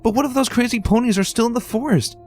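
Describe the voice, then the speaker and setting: A man's voice: very high voice, quick pace, darkish tone, faint music behind, voice pushed up. A man voicing a worried young male baby dragon in a fan reading, protective and pleading.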